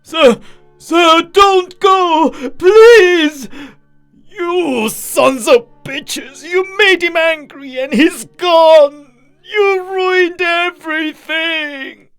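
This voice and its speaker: A man's voice: sits high, wildly swooping pitch, a little slow, no echo, faint music behind, voice pushed up, full character voice. A man voicing a volatile alcoholic father in a dramatized story, raving and fawning. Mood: desperate, enraged.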